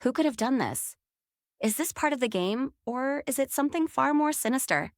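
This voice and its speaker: A man doing a high-pitched young woman guest. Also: animated, moderate pace, no echo, studio quality. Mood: frightened.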